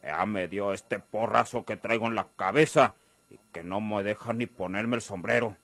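An actor playing a blunt, menacing crime boss with a rural Mexican accent in a radio drama. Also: male voice, on the low side, moderate pace, creaky, fairly bright tone, no room sound. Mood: cold, menacing.

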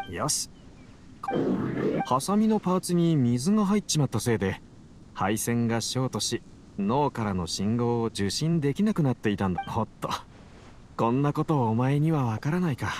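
Anime game researcher male actor: mid-pitched, driven yet tired, tender, expressive melody. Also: a little hiss or hum, natural voice. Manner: earnest, talking tenderly as if to a companion. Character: male researcher, driven but weary, fond of his machine. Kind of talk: anime game voice acting, monologue to a machine.

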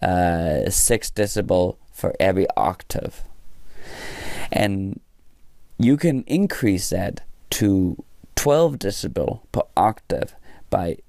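A man giving an informal hands-on tutorial as an instructor, thinking aloud in halting short phrases. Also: low voice, expressive, gravelly, mellow, no echo, clean studio sound. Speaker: electronics instructor. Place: small indoor room at a workbench.

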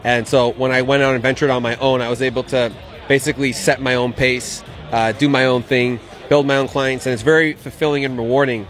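Guy in his 30s explaining his work in a street interview, mid pitch, bit of music behind. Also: level tone, brisk, fairly bright tone. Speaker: interviewee, investment banking business owner. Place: outdoor patio at an event. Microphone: handheld interview mic.

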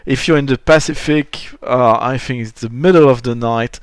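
A man's voice: medium pitch, moderate pace, smooth, no room sound. A man hosting a podcast, asking questions in a casual, hesitant way, restarting and searching for words. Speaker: podcast host.

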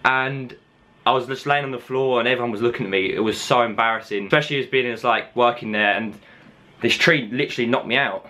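A man's voice: mid-range voice, fast, bright, forceful tone. A young man, chatting casually in a hesitant, rambling, slangy way. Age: young adult. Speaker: prank caller, video host. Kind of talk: casual improvised chat. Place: small room at home.

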